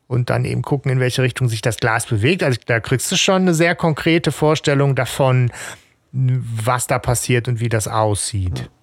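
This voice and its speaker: A man co-hosting a podcast in casual chat, explaining things in a measured way. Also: medium pitch, no echo, studio quality. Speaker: podcast co-host.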